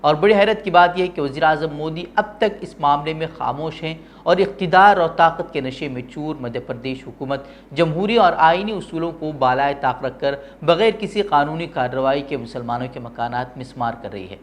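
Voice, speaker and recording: A man's voice: fairly high pitch, moderate pace, hardly any pauses. A man presenting opinionated news with formal, literary diction. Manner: formal Urdu-heavy diction.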